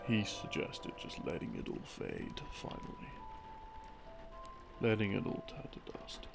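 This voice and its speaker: Slow, gravelly low-voiced man. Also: wildly swooping pitch. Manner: halting, broken into short phrases with long pauses. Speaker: audio roleplay narrator, playing a boyfriend explaining game lore. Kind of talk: soft rambling sleep-aid storytelling. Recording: music behind the voice. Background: soft background music.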